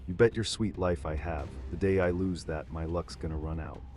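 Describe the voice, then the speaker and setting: A man's voice: low voice, steady tone, normal speed, darkish tone, soft background music, natural voice. A man playing a ruthless, swaggering gangster boss with tough mobster talk in a comic dub. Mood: irritated, boastful. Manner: tough-talking mobster delivery. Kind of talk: motion comic dub of 1940s gangster story.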